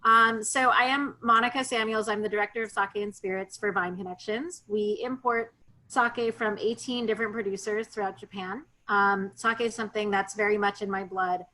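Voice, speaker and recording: A woman in her thirties or forties, a sake expert talking in a relaxed, chatty way over a video call, with many ums and restarts. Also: mid-range voice, normal speed, poor audio. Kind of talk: casual virtual tasting talk.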